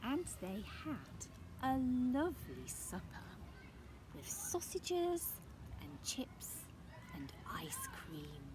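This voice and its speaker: Female storyteller for young children, very lively intonation, unhurried, chatty questioning asides, noticeable background noise. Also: medium pitch. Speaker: storyteller reading a picture book. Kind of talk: expressive read-aloud for young children with asides.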